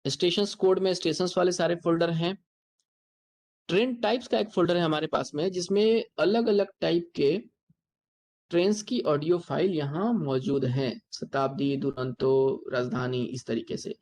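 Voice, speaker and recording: An instructor with an Indian accent giving a software tutorial lecture, explaining step by step and repeating points. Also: medium pitch, moderate pace.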